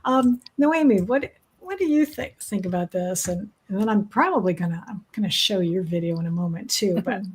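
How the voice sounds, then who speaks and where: A woman's voice: mid-range voice, lively intonation, normal speed, hardly any pauses, breathy edge. A woman hosting an online talk show, chatting casually over a video call and rambling with lots of fillers. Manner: rambling, with many "you know" fillers and restarts. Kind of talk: casual online interview chat.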